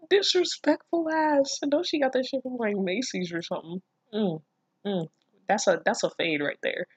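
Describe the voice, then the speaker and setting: Dry-sounding, expressive reader giving incredulous commentary. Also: mid-range voice, husky, no echo. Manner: expressive, incredulous asides with frequent swearing. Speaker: online reader reacting to fan fiction. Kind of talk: casual read-aloud with running commentary.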